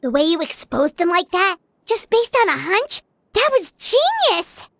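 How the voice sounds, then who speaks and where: A woman voicing a small, excitable fairy companion in a video game, asking eager questions. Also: very high voice, no echo, studio quality, exaggerated voice. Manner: eager, exclamatory, quick questions.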